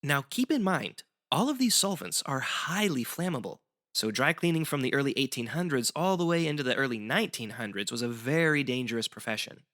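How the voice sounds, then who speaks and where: A man's voice: medium pitch, expressive, fairly quick, a bit bright. A man as a guest explaining history in semi-scripted educational banter, articulate and wry.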